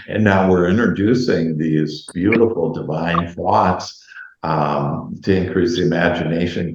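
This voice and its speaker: Host guy, very low voice, rambles with lots of ums, echoey dull-sounding room. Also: slight background noise. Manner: rambling, halting, heavy fillers. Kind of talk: casual video chat.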